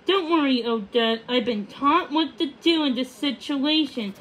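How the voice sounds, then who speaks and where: An excitable male puffin acted out by a woman reader from a children's storybook. He is bossy and urgent, speaking in a high, insistent voice. There is some background noise. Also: a little slow, poor audio. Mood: confident. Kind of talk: children's storybook read-aloud.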